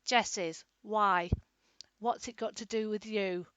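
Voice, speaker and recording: A woman voicing a spiteful, scornful female kennel worker who speaks loudly and gruffly, in a storybook reading. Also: mid-range voice, steady tone, slow pace, no room sound, close to an everyday voice. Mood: hostile. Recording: dry, slightly dull lo-fi.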